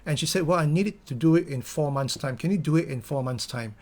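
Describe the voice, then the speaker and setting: A man's voice: a little high, fairly quick, darkish tone. A middle-aged Singaporean man with a Singaporean English accent, giving an earnest motivational talk full of fillers and restarts. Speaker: company founder giving a talk.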